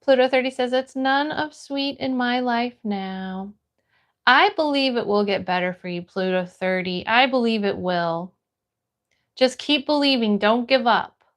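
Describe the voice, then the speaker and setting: A woman with a notably high voice and an American accent hosts a casual livestream. She talks in a friendly, encouraging way as she chats to viewers and reads their comments. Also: a little slow, a bit bright. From United States. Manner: friendly, encouraging, greets people by name. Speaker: livestream host. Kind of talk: casual livestream chat, greeting viewers and reading comments aloud.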